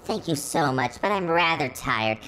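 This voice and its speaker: A woman's voice: low voice, expressive, moderate pace, fairly bright tone, slight background noise, rough recording. A woman voicing a polite, weary female robot stranger with a courteous, formal way of speaking. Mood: weary, earnest.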